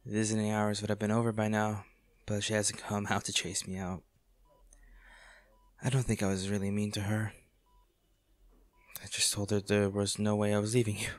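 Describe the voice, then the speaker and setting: An actor playing a wealthy, devoted man full of guilt and grief, speaking haltingly and tenderly in an intimate audio roleplay. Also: on the low side, little pitch movement, no echo, natural voice. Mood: quiet, tender worry. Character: wealthy, devoted male company boss, guilt-ridden and grieving.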